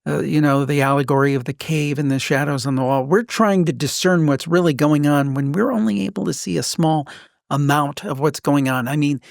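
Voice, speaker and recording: A man with a slightly high voice and plenty of fry hosts a podcast conversation. He talks in an informal interview style, recorded close and dry with little room sound. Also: a little fast, no echo. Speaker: podcast host.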